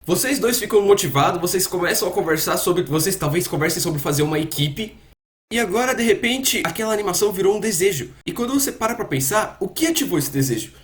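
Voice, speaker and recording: A man with a Brazilian accent giving a dubbed explanatory talk as a coach, conversational and didactic, often posing rhetorical questions. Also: high voice. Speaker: coach presenter, dubbed in Portuguese.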